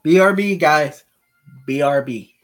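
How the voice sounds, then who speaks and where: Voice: mid-range voice, unhurried. A casual game streamer with an informal, sweary way of talking, chatting live and reading visual novel dialogue aloud.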